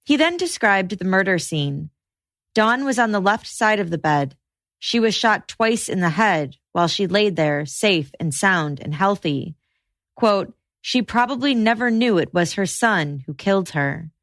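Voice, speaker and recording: A woman reading scripted true-crime podcast narration in a measured, matter-of-fact way. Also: medium pitch, animated, a bit bright, no echo, studio quality.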